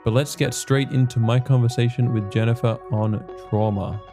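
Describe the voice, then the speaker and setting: A man hosting a podcast, introducing his guest in a relaxed, friendly way. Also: medium pitch, moderate pace, loud music behind the voice. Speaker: podcast host.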